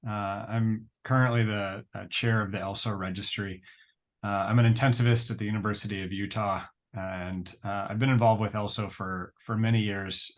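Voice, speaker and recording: A man, an intensivist, introduces himself on a webinar in an earnest way, with many fillers. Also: lowish voice. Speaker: intensivist, registry chair.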